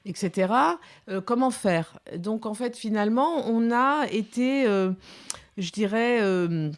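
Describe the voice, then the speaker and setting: A woman's voice: a little high, animated, measured pace. A woman in her fifties, a documentary filmmaker, giving reflective interview answers with many hesitations and restarts. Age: 50s.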